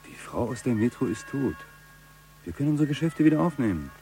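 An actor playing a cold-blooded, businesslike gangster in a German radio crime drama. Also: male voice, medium pitch, sing-song, fairly quick, dark tone, faint music behind, rough recording. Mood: decisive. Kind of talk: German radio play dialogue.

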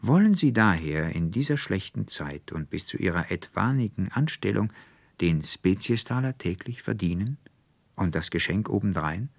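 Man voicing an earnest registrar, low and gravelly. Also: expressive, moderate pace, no echo, full character voice. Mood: encouraging. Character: earnest, verbose male registrar, courteous and bureaucratic.

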